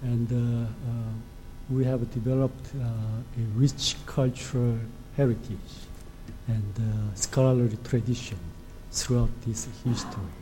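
Soft-spoken Korean man, dark tone. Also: medium pitch, fairly even intonation, slight background noise, rough recording. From South Korea. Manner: halting, with frequent hesitations. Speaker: ambassador giving a presentation. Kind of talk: formal lecture to an audience.

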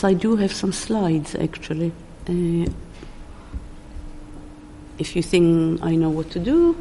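A woman presenting an academic book at a conference, speaking in a halting way and often searching for words mid-sentence. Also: mid-range voice, darkish tone. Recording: long reverb of a large hall.

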